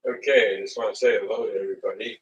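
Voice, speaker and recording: A man with a slightly raspy voice hosting a casual livestream in a halting, unhurried manner. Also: lowish voice, fairly even intonation, fairly bright tone, slight background noise. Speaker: livestream host. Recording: muffled lo-fi audio, distant mic.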